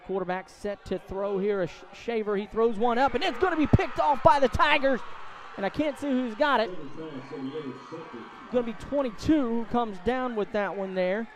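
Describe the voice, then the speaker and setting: Guy doing live high school football radio play by play, high voice, excitable, outside at the stadium. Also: normal speed, a little hiss or hum. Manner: excitable, voice climbs to shouts on big plays. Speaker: play-by-play sports announcer.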